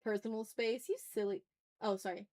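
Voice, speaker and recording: A woman's voice: mid-range voice, fairly even intonation, moderate pace, a little mellow. A woman chatting casually as an art livestream host, informal and thinking aloud as she draws. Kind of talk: casual livestream chat while drawing.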